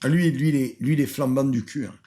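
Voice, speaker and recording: A man's voice: mid-range voice, fast talker, mellow. A French man streaming a reaction video in a casual, mocking way, laughing often and repeating lines.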